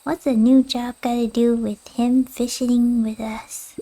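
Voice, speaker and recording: A woman's voice: on the high side, flat delivery, measured pace, dark tone. A woman voicing a curious, earnest young boy in a video game, with a wistful manner.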